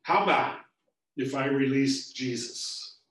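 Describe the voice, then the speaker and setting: A weary Roman governor tries to bargain, halting and placating, as a man acts him out. The voice sits a little higher than plain talk and echoes in a large room, with the mic some way off. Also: a bit bright, far from the mic.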